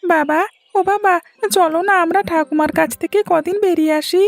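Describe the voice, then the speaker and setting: Cartoon schoolboy: extremely high voice, petulant, pleading, music underneath. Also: female voice, steady tone, normal speed, creaky, no echo. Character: whiny, stubborn little schoolboy. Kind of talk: Bengali cartoon story acting.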